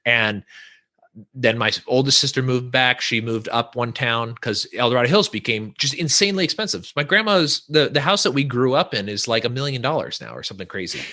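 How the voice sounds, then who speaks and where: A man from Northern California chats on a podcast with a bright, forward tone. He rambles and restarts his sentences, leaning on filler words as he goes. His pitch sits in an ordinary middle range. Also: lively intonation, brisk. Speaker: podcast guest. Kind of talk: casual podcast conversation.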